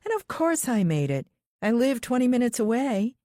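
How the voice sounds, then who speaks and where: A woman's voice: a little high, big pitch swings, normal speed, dark tone, dry recording, clean studio sound, pitched above the performer's own voice. Woman playing a blunt, guarded thriller heroine. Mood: wry, affectionate.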